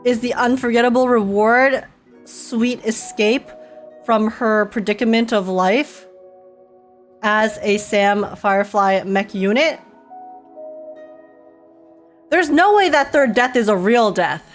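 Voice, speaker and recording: A woman streamer giving a casual live reaction to a game story, expressive and slangy, often repeating phrases for emphasis. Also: on the high side, unhurried. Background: game music and dialogue.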